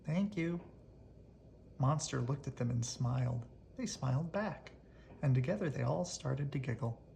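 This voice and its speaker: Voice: mid-range voice, normal speed, gravelly, dark tone, a little hiss or hum. A storybook reader narrating expressively aloud for children, as if at bedtime.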